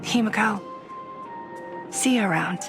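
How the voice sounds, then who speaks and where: A woman's voice: mid-range voice, a little slow, vocal fry. A woman voicing an earnest, friendly young student in a video game acting style. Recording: music under the voice.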